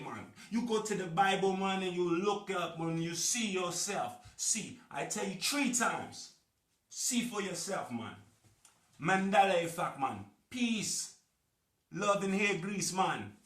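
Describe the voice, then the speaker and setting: A man putting on a loud, playful Jamaican accent as a joke, high and shouting, recorded a little off-mic in an echoey room. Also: lively intonation, slow pace, distant mic, heavily acted. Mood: hyped. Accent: Jamaican (put on). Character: joking put-on Jamaican man, loud and hyped.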